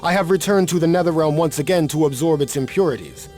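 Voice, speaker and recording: An actor playing an earnest wandering warrior champion in a video game, speaking politely and formally. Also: male voice, fairly high pitch, fast talker, darkish tone, close to an everyday voice. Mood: earnest, composed. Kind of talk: video game cutscene acting. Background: music.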